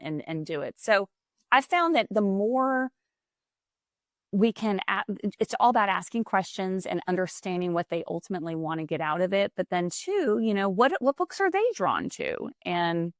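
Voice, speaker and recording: A woman who runs a publishing company, talking as a podcast guest in a quick, animated way with frequent restarts. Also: on the low side, dry recording, clean studio sound. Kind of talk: casual podcast conversation.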